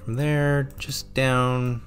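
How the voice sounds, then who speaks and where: A man casually commentating his gameplay, thinking aloud with frequent pauses and self-corrections, his throat a little sore. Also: mid-range voice, level tone, soft background music. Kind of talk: casual let's-play commentary.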